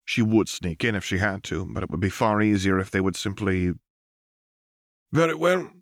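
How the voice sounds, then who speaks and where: A man's voice: deep voice, fairly even intonation, fairly quick, dry recording, clean studio sound. A man reading a fantasy story as an audiobook narrator, in scripted narration.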